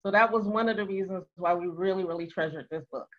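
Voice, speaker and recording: A woman's voice: on the low side, fast. An older woman co-hosting, explaining things to a group in a casual, conversational way with emphatic repetition. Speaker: co-host. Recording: dull, lo-fi online call audio.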